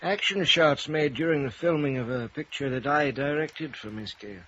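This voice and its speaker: An actor playing an elderly, genteel former film director who speaks hesitantly and rambles, with a husky, creaky voice, in a vintage radio drama. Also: male voice, fairly high pitch, normal speed, fairly bright tone. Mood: hesitant, reminiscing. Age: older. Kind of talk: 1950s radio drama acting. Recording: dull vintage radio recording.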